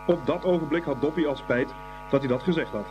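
A man's voice: fairly high pitch, brisk, muffled recording, noisy background. A man narrating a scripted story as the narrator of a radio play. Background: music.

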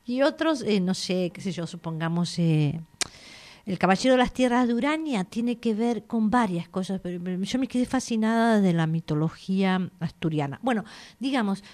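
A woman born in Argentina talks over her writing in an easygoing interview. She wanders from thought to thought and stops to search for words, at an ordinary pitch for a woman. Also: animated, normal speed, darkish tone. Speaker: author. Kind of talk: relaxed interview conversation.

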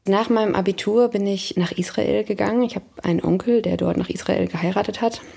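Thoughtful woman with heavily creaky voice. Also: mid-range voice, fairly even intonation, brisk, dark tone. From Germany. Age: 30s. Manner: thoughtful, searching for words. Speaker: interviewee. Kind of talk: reflective personal account in an interview.

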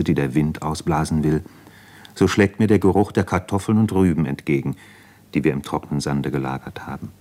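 A weary thoughtful doctor in an old german radio play, deep voice, earnest and educated, bit proud. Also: male voice, a little mellow. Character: weary, proud, reflective male army doctor and writer.

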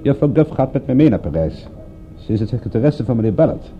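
An actor playing a wry, world-weary private detective in a radio drama, sardonic, with a gravelly, husky voice. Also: male voice, mid-range voice, very animated, fast, slight background noise. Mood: breezy, teasing. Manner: sardonic, dry-witted. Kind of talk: radio drama narration and dialogue. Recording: muffled, phone-like old radio recording.

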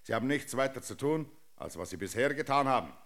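A brusque, grandiose captain and landowner in a radio drama. His bright, forceful voice swings widely in pitch as he commands and questions in a possessive, domineering way. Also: animated, quick pace. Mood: stern, bargaining. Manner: commanding, abrupt, clipped questioning. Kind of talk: German radio drama acting.

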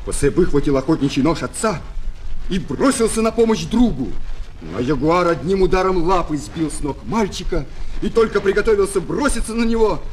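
A man narrating a children's adventure story in an expressive, theatrical way. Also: high-pitched, brisk, a little hiss or hum. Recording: old flexi-disc, music behind.

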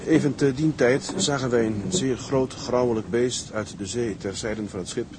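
An actor playing a gruff but kindly seaman in a radio drama, talking in a homely, muttering way. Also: medium pitch, steady tone, normal speed, background noise, lightly acted. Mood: solemn reading aloud. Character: gruff, kindly seaman tending a rescued castaway.

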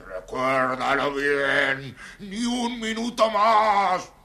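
An actor playing a cruel, murderous husband in a dramatized children's audio storybook, menacing, imperious and theatrical. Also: male voice, on the high side, fairly even intonation, very slow, very noisy. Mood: menacing, impatient.

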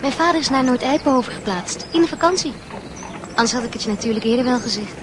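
A woman's voice: on the high side, lively intonation, fast, background noise, natural voice. An actress playing a friendly, sensible teenage schoolgirl in a Dutch radio play. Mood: friendly, amused.

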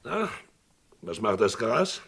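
Gravelly, animated Wild West sheriff. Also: male voice, fairly low pitch, takes it slowly, heavily acted. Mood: hearty, boisterous. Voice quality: gravelly, husky. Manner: blustering, swings from hearty to hushed. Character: boisterous, gruff Wild West small-town sheriff, loyal friend. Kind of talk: German radio crime drama acting.

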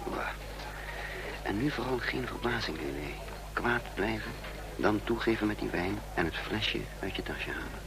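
An actor playing a wily, dry, conspiratorial fugitive agent in a Dutch radio play. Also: male voice, on the low side, expressive, normal speed. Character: wily male fugitive agent, sardonic, protective of his companion. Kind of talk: Dutch radio play acting and first-person narration. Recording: noisy radio play recording.

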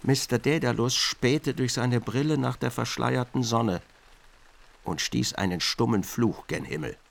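A man narrating a literary radio drama as an observant, measured storyteller. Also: mid-range voice, creaky.

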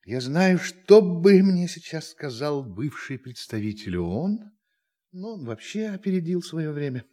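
Smug alien visitor toying with humans, theatrical, swoopy husky voice, russian radio play. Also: male voice, a little high, big pitch swings, measured pace, mellow. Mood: smugly condescending. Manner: theatrical, mock-polite, mocking. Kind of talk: Russian audio drama acting.

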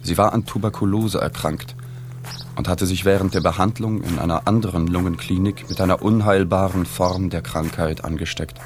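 An actor playing a sober, observant journalist in a literary radio drama, measured and reflective. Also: male voice, deep voice, steady tone, soft background music, close to an everyday voice. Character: sober, observant male journalist recounting a reportage. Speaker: narrator and journalist.

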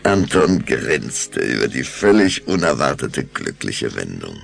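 Deep, creaky-voiced male storyteller. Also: sing-song, unhurried, faint music behind. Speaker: narrator. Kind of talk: scripted dramatic story narration.

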